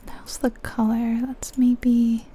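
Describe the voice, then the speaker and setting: Woman, breathy whisper, very soft and mellow, gentle ASMR game commentary, casual thinking aloud, faint background noise. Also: a little high, little pitch movement, slow pace. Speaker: ASMR video creator, host.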